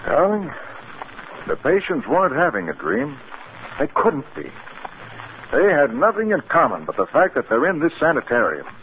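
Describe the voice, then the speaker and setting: An American actor playing an alarmed, obsessive male psychiatrist in a 1950s radio drama, speaking gravely and urgently. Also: fairly high pitch, wildly swooping pitch, moderate pace, pauses often. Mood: frightened, urgent. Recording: scratchy, narrow-band 1950s radio recording.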